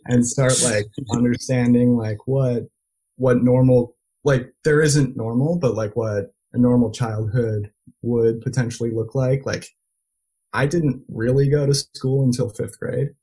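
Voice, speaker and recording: A man's voice: medium pitch, fairly even intonation, a little slow, warm tone, breathy edge, poor audio. A man telling stories in a casual interview, full of "like" and restarts. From United States and Belgium. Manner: lots of filler likes, restarts. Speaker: interview guest. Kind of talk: casual conversational storytelling.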